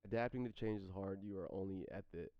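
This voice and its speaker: Man, thirties, low voice, halting stream chatter full of restarts; dry, dull home-mic sound. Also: gravelly, no room sound. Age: 30s. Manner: halting, repeats words and restarts often. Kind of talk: rambling stream chat answering chat questions.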